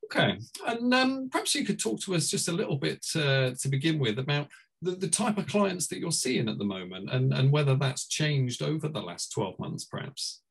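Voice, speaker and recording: A man hosting an informal interview, asking softened, wordy questions in a tentative manner. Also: a little high, big pitch swings, normal speed, vocal fry, slightly rough, poor audio. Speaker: host, interviewer.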